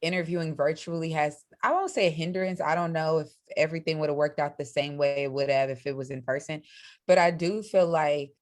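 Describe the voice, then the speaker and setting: A young woman co-hosting a podcast, speaking in a low, fairly monotone voice and thinking out loud. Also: brisk. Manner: says her voice is low and monotone, thoughtful with restarts. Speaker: podcast co-host. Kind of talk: casual podcast discussion.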